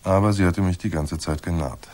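A man's voice: sits low, fairly even intonation, fairly quick, darkish tone, close to an everyday voice. An actor playing a cocky, wry and unflappable male private detective in a German radio crime drama. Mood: wry narration. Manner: wry, unflappable, mock-courteous. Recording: roomy echo with faint noise.

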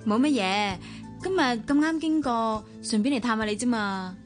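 Actress playing young woman, high-pitched, Hong Kong Cantonese accent, measured, polite, radio drama. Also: music in the background, lightly acted. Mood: polite, composed. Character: composed, dutiful young woman losing her family home.

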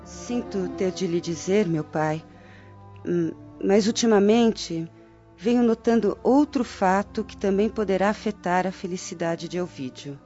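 An actress playing a concerned, dutiful Roman daughter of noble family, speaking in a measured, formal way in a radio drama. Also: female voice, medium pitch, mellow, close to an everyday voice. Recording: dull lo-fi radio drama, music behind.

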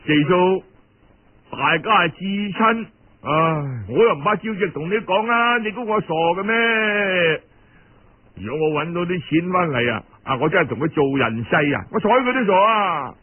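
A man's voice: high voice, expressive, normal speed, breathy edge, narrow, tinny audio. A man voicing a sly, calculating young Cantonese nephew in a told story, coaxing his elder in a familiar tone. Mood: confiding.

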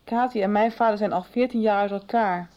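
A woman's voice: mid-range voice, brisk, muffled recording, lightly acted. An actress playing a candid, affectionate young woman in hospital, in a Dutch radio soap. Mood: wistful.

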